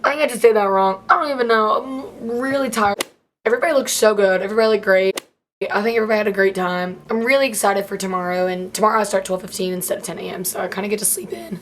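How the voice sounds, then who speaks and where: A college freshman in her late teens, chatting in a casual vlog with a slightly hoarse voice as she loses it, rambling with many "like" fillers. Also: mid-range voice, steady tone, fast, slight background noise.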